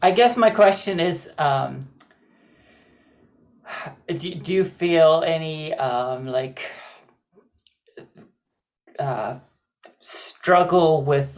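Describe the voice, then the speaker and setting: A woman's voice: rumbling low, a little mellow. A woman from South Africa hosting a podcast, asking questions hesitantly with many fillers. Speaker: podcast host. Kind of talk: interview questions.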